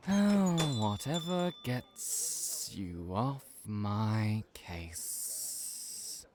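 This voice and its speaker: A man playing a dry, exasperated young snake hybrid who works in a cafe, deadpan and sardonic in an audio roleplay. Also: on the low side, drawn-out words, noisy background, full character voice. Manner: deadpan, sardonic, formal wording.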